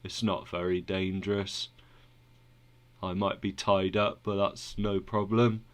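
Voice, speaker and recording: A man voicing a sleepy, careless male traveler in chains, speaking lower and softer with a dismissive tone. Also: little pitch movement, takes it slowly. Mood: unbothered.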